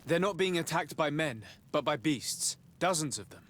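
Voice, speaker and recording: A man playing a composed young noble diplomat in a video game, speaking in a formal, measured and polite way with quiet resolve. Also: fairly high pitch, lively intonation. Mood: calm, firm. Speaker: diplomat character. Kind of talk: video game cutscene acting.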